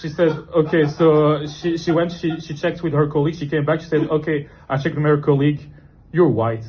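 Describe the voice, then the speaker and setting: A young man from Morocco, doing a self-deprecating stand-up set in a comedy club, deadpan and halting with fillers. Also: a little high, crowd noise. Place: comedy club with live audience. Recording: noisy club recording. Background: audience laughter.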